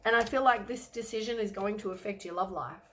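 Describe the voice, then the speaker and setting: A woman talks through a weekly tarot reading in a loose, rambling way, filling pauses with ums. Her voice is croaky from a cold. She sits in a middling pitch for a woman. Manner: relaxed, rambling, many ums and hedges. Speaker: tarot reader.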